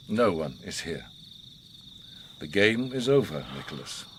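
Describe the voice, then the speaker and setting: An actor playing a grave, commanding, enigmatic older war survivor in a radio drama, speaking in a measured, solemn, philosophical way. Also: male voice, medium pitch, slight background noise. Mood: coldly dismissive. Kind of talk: radio drama monologue and dialogue.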